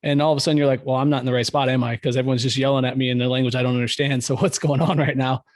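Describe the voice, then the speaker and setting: A man's voice: mid-range voice, fairly even intonation, rapid-fire, no room sound. A man hosting an interview podcast, friendly and affirming, leading into questions with long setups. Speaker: podcast host. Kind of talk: casual interview.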